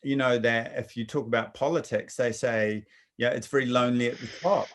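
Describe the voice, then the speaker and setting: A man's voice: mid-range voice, fairly even intonation, fairly quick, a bit bright, a little hiss or hum. A man hosting a casual conversation, reflecting at length and rambling through his points. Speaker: interviewer. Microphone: video call.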